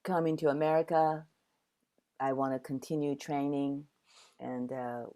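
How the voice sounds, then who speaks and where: Low-voiced older woman from Taiwan. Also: flat delivery, unhurried, mellow. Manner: reflective storytelling with frequent restarts. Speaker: guest, dancer and actor. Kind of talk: conversational interview.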